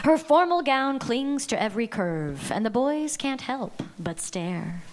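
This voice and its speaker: A woman reading the stage directions at a live table read in a measured, dramatic way. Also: on the high side, big pitch swings.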